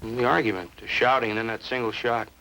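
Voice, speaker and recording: Bright, fast-talking, opinionated neighbor witness. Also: male voice, mid-range voice, fairly even intonation. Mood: eager, opinionated. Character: talkative, opinionated male neighbor, eager witness. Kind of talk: 1950s television crime drama acting. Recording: faintly noisy 1950s television soundtrack.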